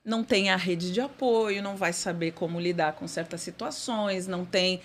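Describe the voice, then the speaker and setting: A middle-aged woman with a low voice talks through her ideas as an interview guest. She is thoughtful and halting, with frequent fillers and restarts. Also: a little hiss or hum. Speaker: guest executive. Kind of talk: conversational interview.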